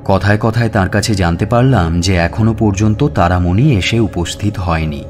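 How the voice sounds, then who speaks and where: Man as a low-voiced, measured police inspector. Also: darkish tone, natural voice. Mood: measured recounting. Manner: measured, deliberate storytelling. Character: measured, authoritative police inspector recounting a case. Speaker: narrator and lead actor. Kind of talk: dramatised audio story narration. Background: music.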